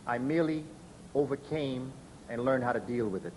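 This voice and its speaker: A man giving a public lecture in a measured, emphatic way, often spelling out letters slowly for emphasis. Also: medium pitch, animated, a little mellow, a little hiss or hum, low-quality recording.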